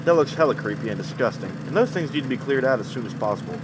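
A man acts as a concerned TV field reporter on location. He talks fast with a lively pitch, over loud street and vehicle noise. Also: lightly acted. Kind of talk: live news report acting.

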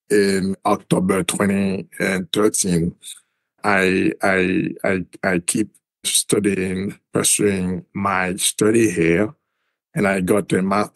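A middle-aged man with a Haitian accent, answering interview questions in a halting way with many repeated words. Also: low-pitched, no echo. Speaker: guest.

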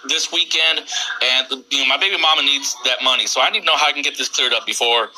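A man's voice: medium pitch, fast talker, sharp tone. A man in a casual phone conversation, hesitating and repeating his words as he goes. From United States, Texas. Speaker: prank call recipient stringing along a scam caller. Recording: noisy phone call recording with clicks.

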